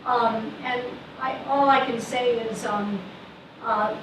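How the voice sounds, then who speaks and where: A woman's voice: a little high, fairly even intonation, takes it slowly. An older, hard-of-hearing woman chairing a public meeting, speaking hesitantly with many restarts, recorded from afar in an echoey room. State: hard of hearing, wears hearing aids. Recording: echoey room, distant mic, background noise.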